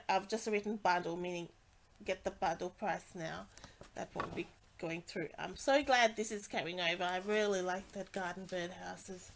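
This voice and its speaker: A woman with a congested, nasal voice, casually walking through craft products with many fillers and restarts. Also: mid-range voice. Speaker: stamping demonstrator.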